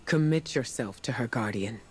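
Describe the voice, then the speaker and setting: A man playing a grave, troubled mentor in a video game, speaking in an earnest, formal, measured way. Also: medium pitch, animated, close to an everyday voice. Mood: troubled, resolute. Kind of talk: scripted video game monologue.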